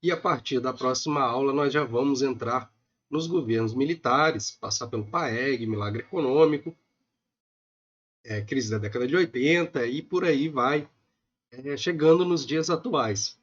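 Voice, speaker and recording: A man with a Brazilian accent gives a recorded online economics lecture, a little hoarse from a cold, talking informally with many filler sounds. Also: medium pitch, a little slow, dull audio. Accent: Brazilian Portuguese.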